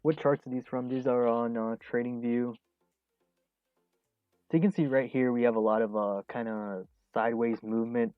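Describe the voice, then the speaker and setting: A man's voice: mid-range voice, measured pace, dry recording, phone-quality sound, soft background music. A man in his early twenties hosting a casual trading livestream, answering viewer questions with frequent chuckles and fillers. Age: 20s. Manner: relaxed, chuckles often, many fillers. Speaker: stock trading livestream host.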